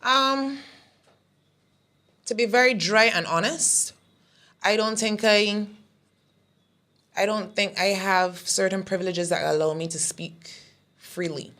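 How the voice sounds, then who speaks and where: A woman with a Barbadian accent and a deep, raspy voice, talking bluntly as a comedian guest in a casual interview. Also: slow, pauses often, a bit bright. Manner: blunt, aggressive tone.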